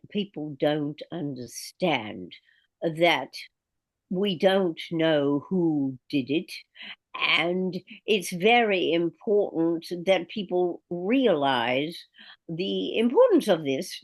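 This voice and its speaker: A woman's voice: lowish voice, expressive, slow, no echo. A woman doing an insistent art commentator. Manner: insistent, repetitive, emphatic. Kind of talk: improvised comedy scene.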